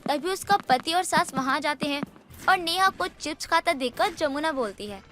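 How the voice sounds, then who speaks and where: A woman's voice: high-pitched, animated, normal speed, fairly bright tone, a little hiss or hum. A woman working as a story narrator, reading a scripted bedtime moral tale.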